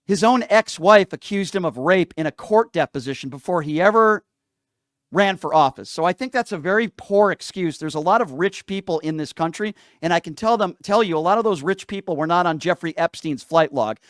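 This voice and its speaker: High-pitched, insistent man debating on air. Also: animated, fairly quick, dry recording, clean studio sound. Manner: argumentative, insistent, repeats phrases for emphasis. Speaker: live call-in show host. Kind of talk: political debate with a caller.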